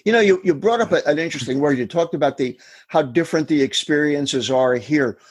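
A man's voice: on the high side, brisk, slightly rough. A man hosting an interview, asking long encouraging questions that prompt his guest to tell his story. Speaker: interview host.

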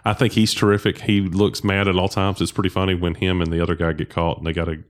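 Picture a man with a very low, deep voice co-hosting a movie podcast. He talks in loose, rambling bursts. He keeps backing up to correct himself mid-sentence, with a relaxed, conversational tone throughout. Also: fast talker. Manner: rambling, full of restarts and self-corrections. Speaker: podcast co-host. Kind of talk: casual movie discussion.

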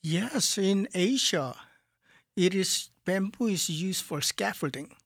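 A man from Korea with a Korean accent, a guest artist in a radio studio interview, speaking calmly and haltingly as he searches for words. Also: sits high, no room sound. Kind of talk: calm interview talk.

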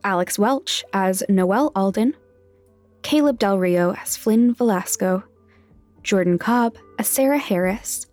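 A woman narrates podcast credits with music underneath. Her voice sits at a middle pitch, and she announces in an orderly, scripted way. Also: measured pace, a little mellow, no room sound. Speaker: podcast creator reading credits.

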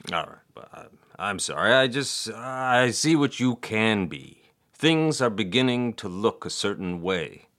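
A man acts out a doting older father for an audio drama. His low voice rises and falls dramatically. He speaks in halting, earnest phrases, stammering as he tries to persuade. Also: very animated, fairly bright tone. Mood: impassioned, earnest.

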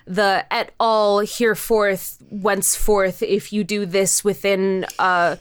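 A woman's voice: mid-range voice, level tone, takes it slowly, smooth, no room sound. Woman, interview guest, casual storytelling, informal and emphatic, rambling, very dry close sound. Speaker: interview guest, creator of a podcast show.

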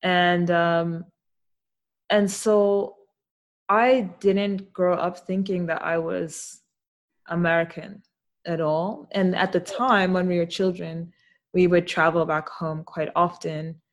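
Woman podcast host, lower pitch, thoughtful, relaxed conversational chat. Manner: reflective, thoughtful, quick to laugh. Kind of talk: casual conversational interview.

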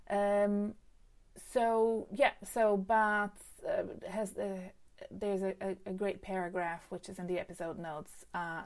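Accented woman doing a solo career podcast, lowish gravelly voice, lots of ums and restarts. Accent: non-native English. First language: not English. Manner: chatty, many fillers and restarts. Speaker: career coach podcast host. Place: home setup with a desk microphone.

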